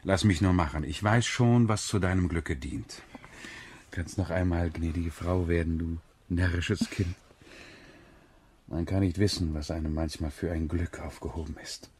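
A man's voice: low-pitched, fairly even intonation, measured pace, darkish tone. A man in a German radio drama playing a stern, blustering yet doting middle-aged father. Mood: gentle, coaxing.

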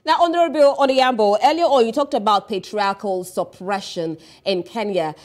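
A woman's voice: fairly high pitch, normal speed. A woman news anchor in a TV studio, asking formal, probing interview questions. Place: television studio.

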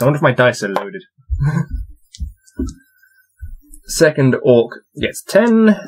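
A man's voice: mid-range voice, slightly rough, low-quality recording. A young man in his twenties reading a fantasy gamebook aloud at his desk and chatting through his dice rolls with amused laughs. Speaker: reader playing a gamebook. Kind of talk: casual read-aloud with dice commentary. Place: small cluttered room with shelves, indoors.

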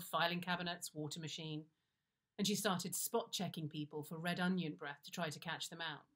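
Low-pitched British woman reading a wry story. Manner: wry, confiding, expressive timing. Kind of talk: first-person comic story reading, conversational. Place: indoors, plain-walled room.

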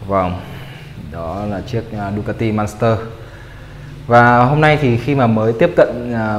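A man's voice: on the low side, reverberant, big-room sound, a little hiss or hum. A young man hosting a motorcycle vlog, talking casually and hesitantly with many fillers. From Vietnam. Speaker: motovlog host. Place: small room indoors with parked motorbikes.

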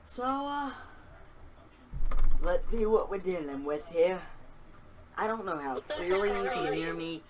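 A man's voice: sits high, animated, takes it slowly, pauses often, slight background noise. A man casually commenting on his game as he plays, rambling and chatty, with a slight lisp on his s sounds. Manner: rambling, chatty, self-aware about his mic. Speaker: gaming channel host. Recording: loud game voice chat behind. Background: game chatter from other players.

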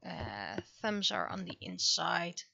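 A woman with a bright, forward voice talks casually as she draws on a livestream. She muses half to herself and lets thoughts trail off. The recording is dry and close, a little dull. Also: on the low side, big pitch swings, slow pace, creaky, no room sound. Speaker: livestreaming webcomic artist. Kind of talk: casual livestream chat while drawing.

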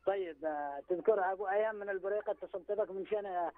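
Man phoning in, quick and halting, phone-line quality. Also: high voice. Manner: hesitant, many fillers. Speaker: phone-in caller. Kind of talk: casual call-in chat.

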